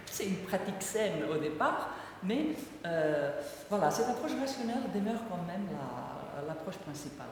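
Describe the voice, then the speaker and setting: A woman's voice: mid-range voice, slight background noise. A woman whose first language is Catalan presents her book to an audience in a lecture, hesitant and full of fillers and restarts. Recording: echoey hall from far off.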